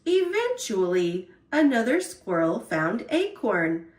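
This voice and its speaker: A woman storyteller reading a children's picture book aloud in an expressive, deliberate way. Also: on the high side, reverberant.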